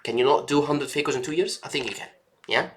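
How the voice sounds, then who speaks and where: A man's voice: fairly high pitch, fast. Reassuring man giving career advice. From Egypt. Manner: reassuring, repeats "yeah" and "okay" checks. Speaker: mentor advising trainee doctors. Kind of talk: casual advice chat.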